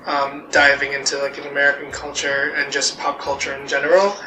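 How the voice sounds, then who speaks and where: A man's voice: mid-range voice, level tone, a little fast, sharp tone. A young man speaking thoughtfully and hesitantly, with many fillers, in a personal interview. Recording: echoey, noisy room.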